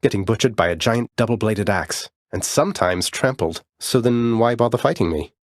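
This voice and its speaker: Man acting as young underworld prince, video game hero, low voice, polite, wry, determined, dry studio sound. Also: expressive, moderate pace, no room sound, natural voice. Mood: wry, challenging. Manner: courteous, dry wit, often says sir. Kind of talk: scripted video game voice lines.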